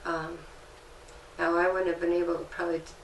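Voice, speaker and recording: Woman, Reiki practitioner, calm, instructive, slightly low, faint background hiss. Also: fairly quick. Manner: calm, explanatory, gently instructive. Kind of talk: guiding a healing session. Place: indoor treatment room with fan. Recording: echoey room, mic at a distance.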